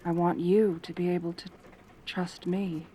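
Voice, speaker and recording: A woman's voice: fairly low pitch, level tone, measured pace, mellow, slight background noise, natural voice. A woman playing a lonely, possessive centaur girl in an intimate ASMR roleplay, tender and anxious, with a hesitant, pleading manner. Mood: hesitant, gentle.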